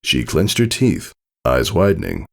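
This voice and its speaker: A man narrates a story in a low, gravelly voice. His pitch swings widely as he reads, giving the scripted text an expressive storyteller's delivery. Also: measured pace. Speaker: fan fiction reader.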